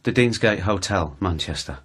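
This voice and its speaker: A man playing a weary, defensive suspect under questioning in a naturalistic radio drama, answering haltingly. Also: low-pitched, lively intonation, a bit bright, husky, heavily acted. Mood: guarded, subdued. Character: defensive, weary murder suspect insisting he's someone's son.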